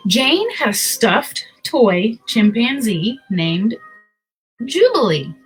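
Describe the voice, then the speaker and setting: A woman's voice: fairly high pitch, sing-song, slow pace, husky, soft background music, rough recording. A woman hosting a picture book read-aloud, telling the story expressively with casual asides.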